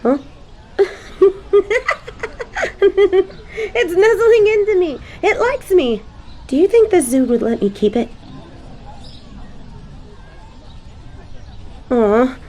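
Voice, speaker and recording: A woman playing a snobby but secretly soft fallen angel in a scripted audio roleplay, with prim, formal phrasing. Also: very high voice, lively intonation, slow pace, long pauses, slight background noise, exaggerated voice. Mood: delighted, giggly.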